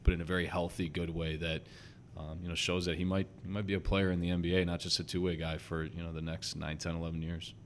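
A young man with a slight Chicago accent, a basketball head coach talking earnestly and quickly in a casual podcast interview, with lots of fillers. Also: low-pitched, flat delivery, a little hiss or hum. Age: young adult. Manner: earnest, talks fast with many fillers.

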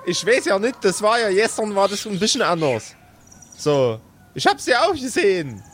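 A man's voice: high-pitched, very animated, normal speed, fairly bright tone, a little hiss or hum. A man voicing a chatty, flustered woman who stammers and rambles, in an improvised role-play audio game. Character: Sille, chatty flustered East German woman.

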